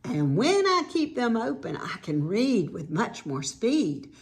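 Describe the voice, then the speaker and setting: A woman reading a children's picture book aloud in an expressive, teacherly way, with informal explanatory asides to young listeners. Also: fairly high pitch, takes it slowly.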